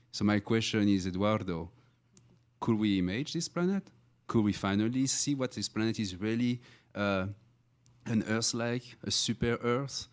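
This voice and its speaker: A middle-aged man moderates a science panel. His voice sits very low, and he frames long, measured questions.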